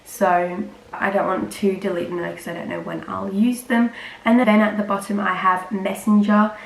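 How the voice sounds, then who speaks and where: A teenage girl chats to camera in a loose, rambling way, leaning on filler words. Her voice is a little croaky from a cold and sits at a middling pitch. The room around her is echoey, with a faint hiss of ambient noise behind. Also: level tone, normal speed. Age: teens. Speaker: vlogger. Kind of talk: casual chat to camera.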